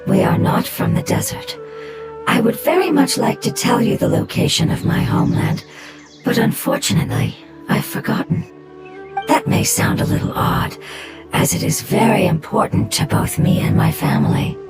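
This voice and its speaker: A woman voicing an ancient, sorrowful non-human being in a video game, calm, solemn and formal. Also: mid-range voice, normal speed, creaky. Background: game music.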